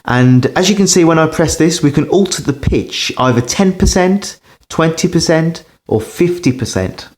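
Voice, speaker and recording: A man giving a casual hands-on demonstration as a record collector, pausing and hesitating as he talks. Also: a little high, lively intonation, darkish tone. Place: indoors beside a turntable.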